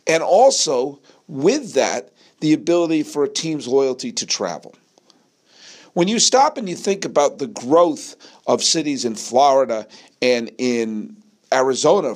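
Emphatic American man talking sports. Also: a little high. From New England, United States. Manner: emphatic, rhetorical questions, repeats phrases for effect. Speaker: sports podcast host. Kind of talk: opinionated solo sports monologue.

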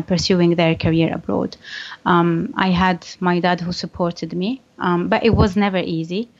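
A woman's voice: fairly low pitch, highs cut off. A woman from Algeria answering interview questions earnestly, with frequent "um" fillers and restarts. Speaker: guest interviewee, finance professional.

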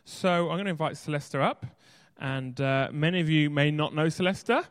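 A man at a church service introducing a guest speaker, relaxed and pausing with fillers. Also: a little high, faint music behind.

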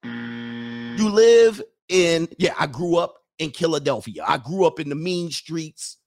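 A man talking casually to his audience in an animated way, mocking people with quick put-on impressions and laughing at his own jokes. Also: a little high, creaky. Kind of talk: casual commentary monologue.

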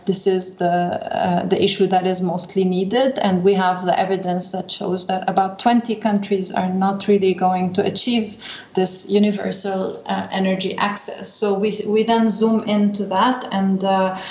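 A woman's voice: mid-range voice, little pitch movement, a little mellow. Middle-aged female interview guest from Lebanon, hesitant delivery, dull recording with room echo. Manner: hesitant, searching for words with frequent pauses. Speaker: interview guest, energy division chief. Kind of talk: unscripted interview answers. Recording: dull lo-fi roomy sound, faint noise.

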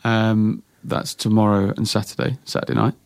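A man being interviewed as a guest musician in a radio studio, answering in a relaxed, soft-spoken, unhurried way. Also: fairly low pitch, gravelly, smooth, no room sound.

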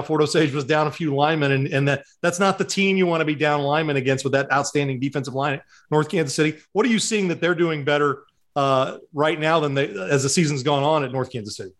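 Stumbling co-host with a highish voice. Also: male voice, fairly bright tone. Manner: wordy, stumbling questions. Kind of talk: casual interview question.

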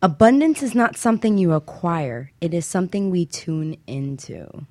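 Lower-voiced woman, dry close sound. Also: lively intonation, normal speed, mellow, dry recording. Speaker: talk show host. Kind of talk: casual motivational talk show chat.

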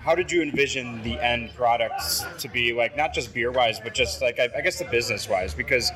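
A man's voice: mid-range voice, brisk, music in the background. A male podcast host with a Western New York accent, asking laid-back, rambling questions at a launch party. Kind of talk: casual interview. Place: launch party at a pizza restaurant.

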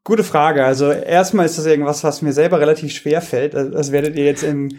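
A man's voice: a little high, fairly even intonation, brisk, a little mellow. A man, a researcher, talking casually as a podcast guest, hesitant, with many fillers and restarts. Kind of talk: casual interview talk.

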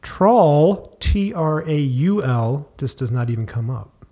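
A man co-hosting a casual podcast, with a gravelly voice, playful and laughing as he reads things aloud. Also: fairly high pitch, lively intonation, slow, dark tone. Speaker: podcast co-host. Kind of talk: casual chat.

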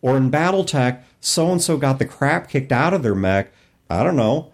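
A man's voice: mid-range voice, sing-song, moderate pace. A man hosting a casual panel discussion, talking in an analytical way and explaining with acted-out examples. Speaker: podcast host.

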